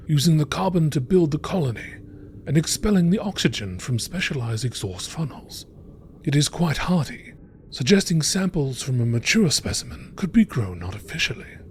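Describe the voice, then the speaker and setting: A man reading scripted science-fiction encyclopedia entries in a measured, formal nature documentary style. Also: on the high side, darkish tone, a little hiss or hum. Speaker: narrator reading game lore entries.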